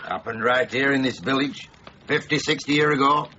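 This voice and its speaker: A man's voice: medium pitch, normal speed, sharp tone, a little hiss or hum, lightly acted. A man playing a dry, weary tavern storyteller. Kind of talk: BBC radio play acting. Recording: slightly dull radio broadcast.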